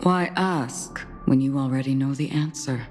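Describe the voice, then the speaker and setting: A woman voicing a solemn, composed female sword fighter in a video game, speaking in a measured, cryptic, philosophical way. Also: a bass voice, a little mellow. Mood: solemn, probing. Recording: long reverb, music behind the voice. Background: game music.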